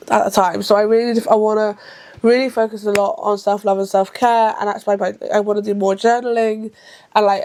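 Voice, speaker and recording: A woman's voice: mid-range voice, a little mellow. A woman of about thirty from England. She talks casually to the camera as a book vlogger, rambling and often correcting herself, and sometimes hurries. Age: around thirty. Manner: chatty and rambling, with frequent self-corrections; rushed at times.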